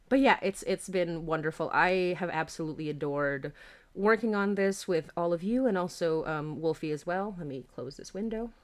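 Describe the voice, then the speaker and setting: A woman hosting a casual livestream, chatting in a bubbly, giggly, rambling way. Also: on the low side. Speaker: livestream host.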